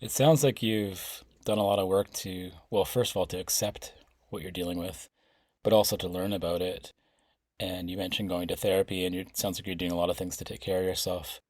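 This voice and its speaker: A man's voice: sits low, fairly even intonation, brisk, a little mellow, no echo, studio quality. A man hosting a podcast, asking thoughtful, reflective interview questions. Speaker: podcast host.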